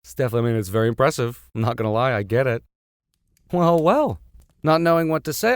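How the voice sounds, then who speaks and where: A man reading a classic story aloud as host, theatrical in delivery, with wry asides. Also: medium pitch. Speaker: reader, host.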